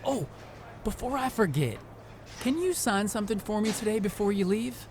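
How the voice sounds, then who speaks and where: A man's voice: sits high, animated, normal speed, darkish tone, a little hiss or hum. A man playing an eager, chatty, starstruck young rookie wrestler in a video game cutscene. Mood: chatty, playful.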